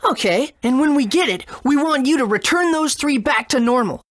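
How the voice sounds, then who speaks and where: Earnest, high-voiced young boy hero. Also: animated, moderate pace, dry recording, clean studio sound. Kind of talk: video game character acting.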